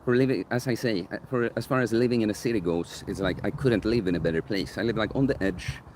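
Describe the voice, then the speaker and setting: A young man with a deep bass voice chatting casually outdoors while livestreaming a Q&A, rambling and correcting himself as he thinks aloud. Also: fast, a little mellow, slight background noise. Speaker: coach streaming live Q&A. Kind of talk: casual live-stream chat answering viewer questions. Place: outdoors in a park near sunset. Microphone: phone camera held by the streamer.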